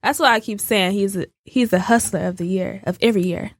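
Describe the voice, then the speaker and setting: A woman's voice: medium pitch, a little fast, no echo, studio quality. A woman hosting a casual interview, encouraging her guest with short affirmations and easygoing questions. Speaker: interview host.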